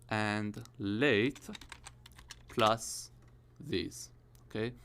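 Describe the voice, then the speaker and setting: A man's voice: mid-range voice, fairly bright tone, slight background noise. Man in his thirties teaching grammar. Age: 30s. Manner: explaining step by step with repeated checks. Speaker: English teacher. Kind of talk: IELTS grammar lesson.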